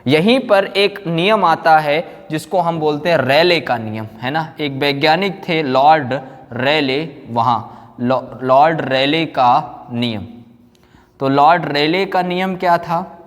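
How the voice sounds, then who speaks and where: A man's voice: a little high, takes it slowly. A man lecturing as a physics teacher, explaining with repetition, rhetorical questions and frequent check-ins. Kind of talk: classroom lecture. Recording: echoey hall, long reverb.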